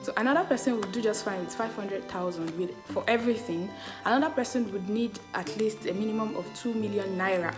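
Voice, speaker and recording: A young woman with a Nigerian accent, talking casually to the camera with a loud voice and many "like" fillers. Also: mid-range voice, a little fast. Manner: chatty, full of "like" fillers, laughs often. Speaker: travel vlogger. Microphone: camera mic, no external mic. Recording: background music.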